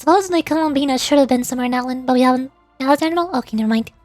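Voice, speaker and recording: A woman with a high-pitched voice gives casual livestream commentary. She rambles along as she talks to viewers about the game. The sound is dry, with music underneath. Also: rapid-fire, darkish tone, no room sound. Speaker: VTuber game streamer.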